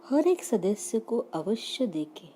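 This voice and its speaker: A woman leading a guided meditation in soothing short phrases with long pauses between them. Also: fairly high pitch, very animated, mellow, a little hiss or hum. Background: background music.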